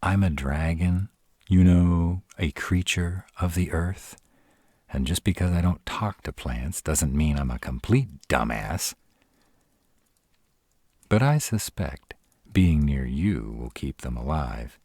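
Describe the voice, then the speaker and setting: A man playing a gruff but tender, protective were-dragon boyfriend in a romantic fantasy audio roleplay, speaking to the listener with teasing care and hesitant pauses. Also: rumbling low, expressive, a little mellow, no echo, clean studio sound, heavily acted. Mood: defensive, reassuring. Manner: tsundere, teasing yet caring, hesitant pauses.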